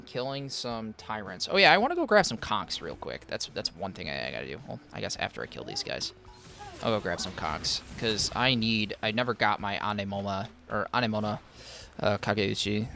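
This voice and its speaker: Bright-voiced male streamer chatting loosely. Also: lowish voice, vocal fry. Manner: rambling, loose, many fillers and restarts; answers viewer chat between gameplay. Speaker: streamer reviewing viewer game accounts. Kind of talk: casual live-stream chat while playing.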